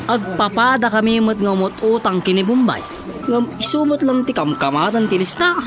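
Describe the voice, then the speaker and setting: A man playing a sly, giggly debtor in a radio comedy, with drawn-out phrase endings. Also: high voice, animated, music in the background. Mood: explaining. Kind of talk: radio comedy drama acting.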